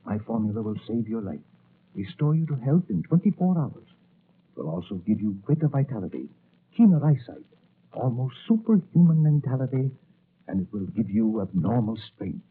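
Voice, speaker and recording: An actor playing a kindly, conspiratorial doctor and apothecary with a deliberate manner in 1940s-style radio drama. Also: male voice, medium pitch, sing-song, breathy edge. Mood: urgent, reassuring. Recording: muffled, narrow-band vintage radio recording.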